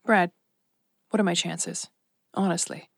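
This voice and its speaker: A woman's voice: fairly low pitch, steady tone, a little slow, creaky, husky, dry recording, full character voice. An actress playing a sleepless, stubborn, sarcastic woman in an audio drama, dry and blunt. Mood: hopeful, polite. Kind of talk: naturalistic audio drama acting.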